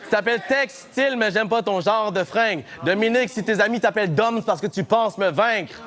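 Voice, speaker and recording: A man's voice: high-pitched, a little fast, a bit bright. A man, a battle rapper trading boastful, joking a cappella bars. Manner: boastful, joking, laughing between lines. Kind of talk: a cappella rap battle verses. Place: live battle venue with audience.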